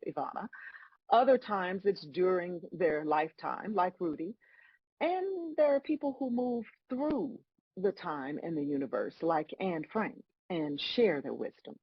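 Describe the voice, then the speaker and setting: A woman playing God as a wry, patient, bemused celestial judge, explaining things in a measured way at a table read of a comedy. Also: on the low side, big pitch swings, breathy edge, no room sound, lo-fi sound, exaggerated voice. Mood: wry, amused.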